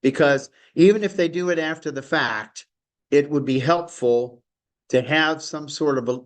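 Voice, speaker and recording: A man's voice: a little high, unhurried. A man teaching an online study class, enthusiastic and rambling, with frequent chuckles. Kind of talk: informal lecture on a call.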